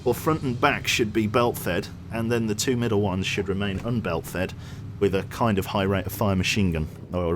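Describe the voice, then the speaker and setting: A man giving gameplay commentary as a streamer, excitable and casually swearing, his voice going a little hoarse. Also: mid-range voice. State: losing his voice.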